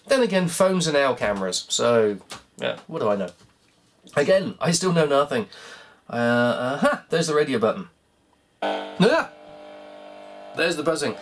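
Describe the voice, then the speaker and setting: A croaky voice muddles through a repair job, mocking itself in a loose, rambling running commentary. The pitch leaps up and down all the time, and there is a faint hiss underneath. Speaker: hobbyist repair vlogger. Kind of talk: thinking aloud while taking apart a cassette player.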